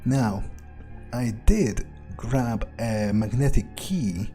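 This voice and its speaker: A man's voice: mid-range voice, lively intonation, drawn-out words, warm tone, faint music behind. A man roleplaying an irritable, cynical vampire in a video game, muttering bluntly and thinking aloud. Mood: wary muttering. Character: irritable, foul-mouthed, cynical male vampire errand-runner.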